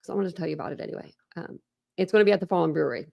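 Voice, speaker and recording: A woman's voice: on the low side, racing, creaky, a little mellow. A woman runs a true crime livestream in loose, chatty commentary. She rambles, trips over her own starts and circles back. The delivery stays casual and unscripted. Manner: rambling, chatty, self-interrupting with laughs. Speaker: true crime channel host.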